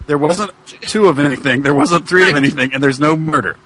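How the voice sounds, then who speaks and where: A man's voice: a little high, brisk, a bit bright. A man in casual comic chat as a podcast co-host, puzzling aloud and often repeating himself.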